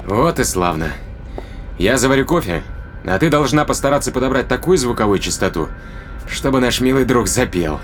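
An actor playing a calm, practical spaceship captain with a wry, casual manner in a science fiction audio drama. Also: male voice, medium pitch, moderate pace, faint music behind, lightly acted.

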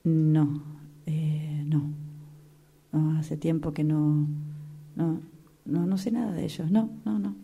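A woman's voice: sits low, fairly even intonation, slow pace, gravelly, pauses often, dark tone, breathy edge, muffled recording, exaggerated voice. A woman with a Rioplatense Argentine accent acting an awkward, polite, hesitant acquaintance in a radio play. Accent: Rioplatense Argentine Spanish. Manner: hesitant, repeats short words.

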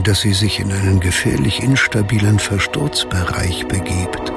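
A man narrating a cave thriller radio play in a measured, ominous, deliberate way. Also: lowish voice, gravelly.

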